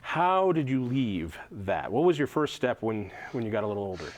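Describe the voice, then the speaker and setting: A man's voice: mid-range voice, very animated, normal speed. A man hosting an interview, teasing his guest in a joking way. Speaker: talk show host.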